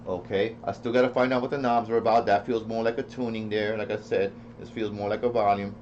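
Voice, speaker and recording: A man's voice: on the low side, little pitch movement, fast talker, darkish tone. A man giving a casual, unscripted product demo, rambling as he thinks aloud and repeats himself. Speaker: product reviewer. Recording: dull lo-fi sound with faint noise.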